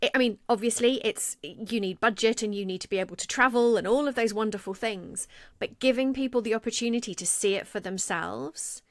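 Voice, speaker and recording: A woman's voice: fairly high pitch, brisk, dry recording, clean studio sound. A woman co-hosting a podcast, telling a work story in a conversational way and acting out quoted lines. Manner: animated, acts out quoted speech. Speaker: podcast co-host.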